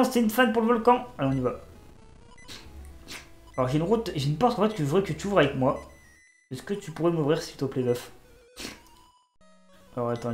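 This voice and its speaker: A man's voice: on the high side, reverberant. Stuffy-nosed man joking through a game. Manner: joking, talks to himself and the game characters. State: has a cold. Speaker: gaming YouTuber. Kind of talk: casual let's-play commentary.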